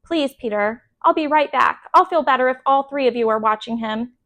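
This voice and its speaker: Storybook mom acted by a woman, fond and frazzled, high voice, coaxing her children. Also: fairly quick, pushed and bright. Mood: hesitant coaxing. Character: fond, harried mother of two young boys.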